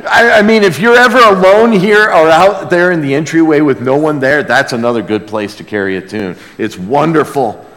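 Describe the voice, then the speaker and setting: A man's voice: fairly high pitch, normal speed, hardly any pauses, a bit bright. A man preaching a conversational sermon as a pastor, in a folksy way with rhetorical questions to his listeners. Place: large reverberant room, preaching to a congregation. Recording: long hall reverb.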